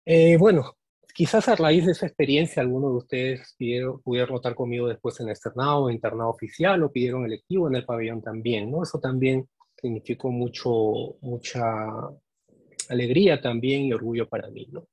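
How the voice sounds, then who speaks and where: Peruvian male doctor-teacher, forties, husky, earnest and reflective, dry close sound. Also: medium pitch, a little fast, a little mellow, dry recording. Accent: Peruvian Spanish. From Peru. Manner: earnest, reflective, frequent tag questions. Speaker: medical teacher giving a speech. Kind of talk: prepared speech to graduating students. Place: indoors, conference room. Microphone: podium microphone.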